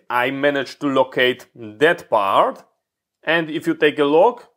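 Man, repair tutorial, slow deliberate delivery, mid-pitched voice, explanatory, slightly non-native phrasing. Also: a bit bright. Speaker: electronics repair hobbyist.

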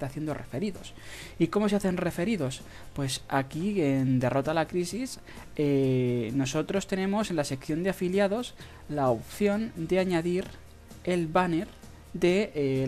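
Halting Spanish tutorial host from Spain, music behind. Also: medium pitch, a little mellow. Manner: halting, hesitant delivery with drawn-out pauses. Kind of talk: casual screen-recorded tutorial about earning money online. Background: background music.

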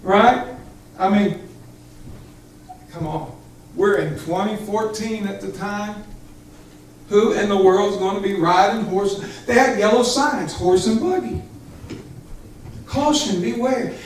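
A man's voice: sits high, slow, pauses often, slight background noise. A middle-aged man preaching a Pentecostal sermon as pastor, fervent and emphatic, addressing his congregation directly. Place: church sanctuary with small congregation. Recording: echoey church hall, far from camera.

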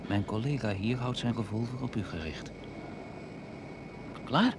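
A controlled, commanding agent in an old radio drama. He gives short orders at an unhurried pace with lively intonation. The recording is heavily noisy. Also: medium pitch. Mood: curt menace. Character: curt, controlled male security agent making an arrest.

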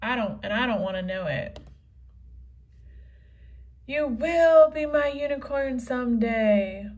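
A woman's voice: a little high, expressive, slow pace, darkish tone. A woman voicing a bossy, snooty girl classmate, snide and dismissive, in a children's comic read-aloud.

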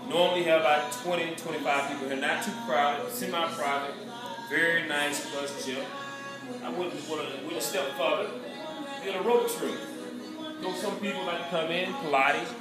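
Upbeat gym owner, echoey distant audio. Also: male voice, on the high side, fairly even intonation, moderate pace. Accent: Southern US. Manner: upbeat, boastful salesman patter. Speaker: gym owner giving a tour. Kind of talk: casual walking tour. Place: indoor gym, echoey rooms. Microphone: handheld camera following him. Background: music playing in the gym.

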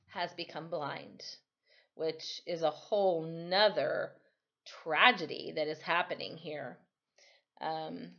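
A woman's voice: on the low side, highs cut off. A middle-aged woman reading a children's novel aloud expressively, like a teacher at storytime. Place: small room at home, indoors.

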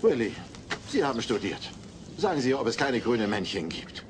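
An actor playing an exasperated, grumbling married neighbour with a gravelly voice in a German sitcom audio drama. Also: male voice, medium pitch, sing-song, normal speed, close to an everyday voice. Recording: faint noise, old cassette audio drama.

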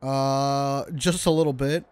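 A man's voice: medium pitch, fairly even intonation, slow pace, clear, resonant tone. A man commenting on a game playthrough, reading dialogue aloud and joking. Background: game music.

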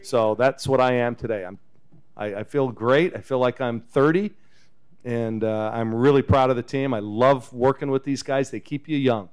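A man in his fifties, a head coach, talking casually at a post-game press conference into a table microphone, relaxed and chatty. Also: medium pitch, moderate pace, smooth. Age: 50s. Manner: relaxed, chatty, chuckles often. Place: press conference room with backdrop. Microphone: table microphone on a stand.

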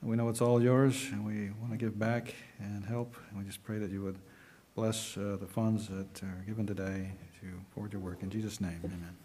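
A middle-aged man leading prayer and announcements at a church service from a pulpit mic, halting, with frequent fillers. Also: on the low side, level tone, warm tone, a little hiss or hum. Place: small church sanctuary.

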